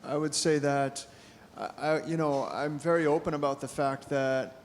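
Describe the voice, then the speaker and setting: A middle-aged man answers questions at a live panel, searching for words with plenty of ums and restarts. His voice sits a little high with a flat, even line, and it carries a long reverb through a brewery hall over faint room hum. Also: normal speed. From United States. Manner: hesitant, many fillers and restarts. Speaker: photojournalist speaker.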